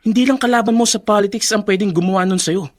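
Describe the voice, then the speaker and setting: A man plays a young adult politician's son in a Filipino radio drama. His voice is high for a man, cool and self-assured, and he slips English phrases into his cautioning advice. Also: quick pace, close to an everyday voice. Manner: mixes English phrases into his speech, advising.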